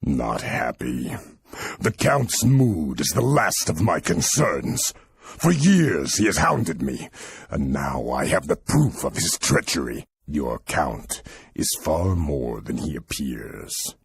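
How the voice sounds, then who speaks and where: Very deep, gravelly warrior priest. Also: male voice, sing-song, heavily acted. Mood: grave, accusing. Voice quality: gravelly, husky. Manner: grave, deliberate, solemn. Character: stern, defiant old warrior priest of a war god. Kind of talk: fantasy game cutscene acting.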